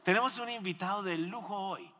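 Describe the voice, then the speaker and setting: A man's voice: sits high, brisk, bright, forceful tone. A man speaking as a pastor and host in church, exhorting the congregation and putting questions to them. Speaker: pastor hosting a missions guest in church. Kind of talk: animated church interview and preaching. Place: large indoor church hall. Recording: echoey hall with long reverb.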